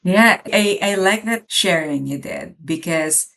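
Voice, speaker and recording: Low-voiced woman from the Philippines. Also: unhurried, creaky, a bit bright. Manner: reflective, many fillers and restarts. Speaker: podcast co-host. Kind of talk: casual chat.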